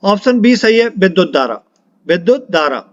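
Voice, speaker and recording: High-voiced man, coaching teacher, reading quiz questions, repeats for emphasis, dry slightly dull recording. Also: very animated, normal speed, no room sound. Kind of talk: reading out multiple-choice quiz questions and answers to students.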